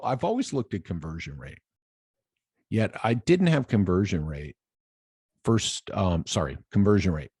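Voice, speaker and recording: A man's voice: low voice, a little mellow, husky, dry recording. A man in his fifties giving an informal coaching monologue to camera, emphatic and checking in with rhetorical questions, close to the microphone. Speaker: business coach, presenter. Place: room with brick wall and window, indoors. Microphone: large microphone close to the mouth.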